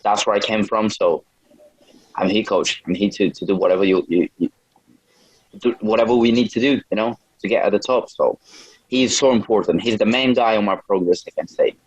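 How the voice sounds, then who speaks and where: A young man from a small town in Brazil with a Brazilian accent, answering interview questions casually in halting non-native English, often repeating words. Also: fairly low pitch, slightly rough, no echo, rough recording. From Brazil, small town in the north. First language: Portuguese. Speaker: MMA fighter, podcast guest.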